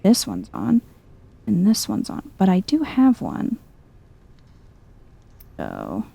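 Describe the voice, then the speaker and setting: A woman with a very dark, mellow voice and a husky, breathy edge gives soft-spoken ASMR game commentary. She talks slowly and calmly, as if thinking aloud to herself while she plays. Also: mid-range voice. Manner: chill, musing to herself. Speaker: video game streamer.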